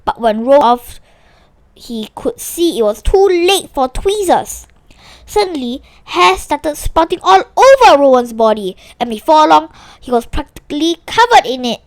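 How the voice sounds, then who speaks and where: A woman reading a children's story aloud with animated expression, now and then stumbling over a word. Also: high voice, a little slow, dry recording. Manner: animated, with small stumbles and restarts while reading.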